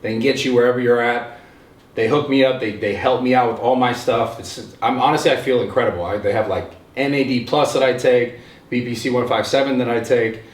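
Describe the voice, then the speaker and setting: A man's voice: mid-range voice, fairly even intonation, a little fast. Man, thirties, sincere sponsor spot, roomy room sound, distant mic. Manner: earnest, urging listeners directly. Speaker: podcast host reading an ad. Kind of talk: ad read.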